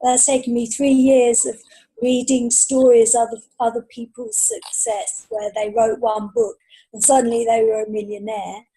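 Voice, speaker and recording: A woman's voice: a little high, moderate pace, mellow, breathy edge, poor audio. A woman talking casually as a writer on a panel, giving earnest, encouraging advice.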